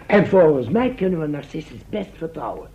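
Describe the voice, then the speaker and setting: An actor playing an eager, trusting young male companion in a Dutch radio play, lively and respectful. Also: on the high side, a little fast, slightly rough, heavily acted. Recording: muffled old radio play recording.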